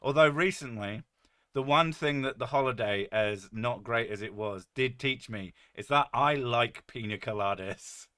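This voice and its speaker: A man with a deep, boomy voice hosting a casual stream, joking and rambling with a few curses, his mic set well above his head. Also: unhurried, fairly bright tone. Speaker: streamer host. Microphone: desk mic set well above his head.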